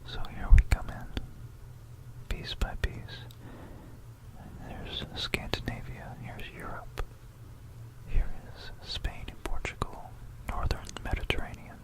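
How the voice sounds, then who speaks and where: A breathy, unvoiced whisper from an ASMR host, recorded close on a stereo microphone. The delivery is very slow and hushed, with a conspiratorial manner. It is educational narration meant to be relaxing. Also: rough recording. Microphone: close stereo binaural microphone.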